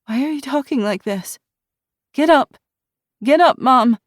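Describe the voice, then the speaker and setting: Woman voicing a shrill, desperate teen girl; high and loud. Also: normal speed, no echo, clean studio sound. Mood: pleading. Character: frantic teenage girl begging her mother.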